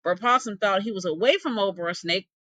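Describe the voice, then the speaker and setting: A woman's voice: medium pitch, fairly quick, a bit bright, no echo. A woman narrating a folktale expressively, with folksy dialect phrasing and dramatic delivery. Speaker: storyteller, English teacher.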